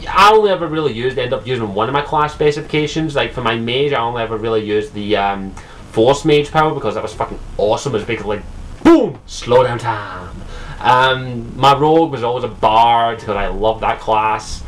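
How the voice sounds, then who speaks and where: A man's voice: medium pitch, a little fast, poor audio. Young Scottish man rambling about games. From Scotland. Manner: rambling, profane, lots of ums and restarts. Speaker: gaming vlogger. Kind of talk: casual solo commentary.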